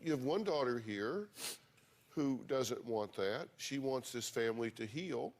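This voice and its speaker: A man's voice: medium pitch, expressive, measured pace, darkish tone. A man hosting a talk show, steering a heated family dispute with dry jokes.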